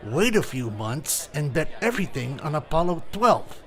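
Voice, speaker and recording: A man's voice: fairly high pitch, big pitch swings, normal speed, fairly bright tone. A man playing a shady racetrack tout in a radio comedy, giving clipped, conspiratorial tips. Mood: sly, confidential. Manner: clipped, conspiratorial tipster patter. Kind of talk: radio comedy recreation acting.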